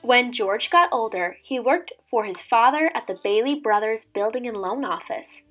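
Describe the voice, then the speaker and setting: A woman in her twenties with a fairly high voice talks as a teacher presenting a storybook for children. Music plays behind her as she shares the book. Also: lively intonation, normal speed, a bit bright. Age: 20s. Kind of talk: casual intro chat and storybook read-aloud.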